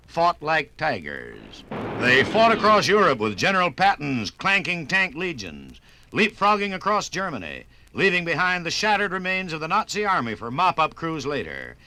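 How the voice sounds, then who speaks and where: Casting: male narrator, bright and lively, emphatic old radio style, dry close sound. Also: a little high, no echo. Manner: stirring, emphatic delivery. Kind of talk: 1950s scripted radio documentary narration.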